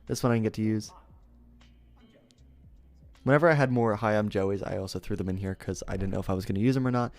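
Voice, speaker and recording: A man's voice: on the low side, fast talker, pauses often, no echo. A young man streaming, chatting casually and rambling, often correcting himself and laughing.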